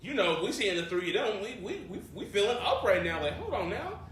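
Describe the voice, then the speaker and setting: A fairly high-voiced American man tells a story casually as a podcast co-host. His talk is slangy and profane, and he voices the people he quotes. The sound is heavily echoey and distant from the mic, with faint noise. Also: very animated, fairly quick, a bit bright. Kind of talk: casual animated storytelling among friends.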